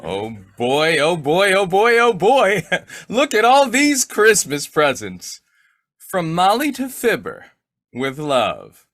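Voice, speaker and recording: Man playing snoopy, blustering radio-comedy husband, swooping pitch. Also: huge pitch swings, slow, fairly bright tone, dry recording, studio quality, heavily acted. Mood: gleeful, sneaky. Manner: muttering to himself, stammering, exclaiming. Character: Fibber, snoopy blustering husband, sly and childlike. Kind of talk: old-time radio comedy acting.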